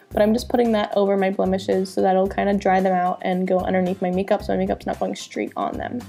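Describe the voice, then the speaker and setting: A woman's voice: medium pitch, warm tone. A young woman with a nasal voice from a cold, chatting upbeat through a makeup tutorial as a beauty vlogger.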